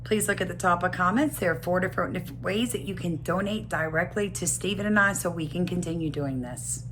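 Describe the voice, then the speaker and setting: A woman's voice: on the low side, brisk, very noisy. A woman hosting a channel, talking casually to viewers and firing off rhetorical questions with folksy asides. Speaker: channel host.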